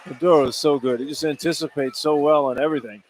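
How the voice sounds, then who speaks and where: A man's voice: a little high, steady tone, fast, a little hiss or hum, poor audio. A man giving live radio color analysis of basketball, explaining plays in informal, emphatic short remarks. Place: courtside in an indoor arena.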